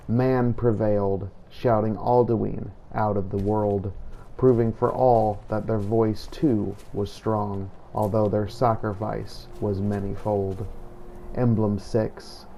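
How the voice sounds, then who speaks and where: A man narrates a fantasy game journal in a solemn, measured way. His voice is mellow and stays very even, delivered slowly, with faint noise behind it. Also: lowish voice, gravelly, poor audio. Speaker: player narrating a role-play playthrough. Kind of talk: scripted in-character journal narration.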